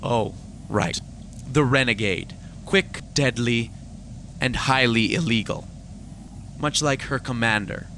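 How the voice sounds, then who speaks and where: Man playing a bright-voiced, principled, troubled admiral. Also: mid-range voice, slow, long pauses, slight background noise, low-quality recording. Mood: wry, disapproving. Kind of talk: science fiction audio drama acting.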